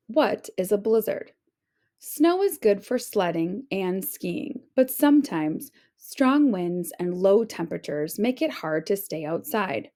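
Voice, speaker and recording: A woman reading an informational children's book aloud like a teacher, with clear, deliberate phrasing. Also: mid-range voice, warm tone.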